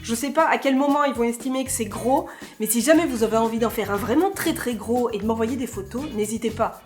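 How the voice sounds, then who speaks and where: A woman's voice: fairly high pitch, fairly quick, a little mellow, faint music behind. A young woman hosting a casual vlog, playful and chatty, with joking asides. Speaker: vlogger host.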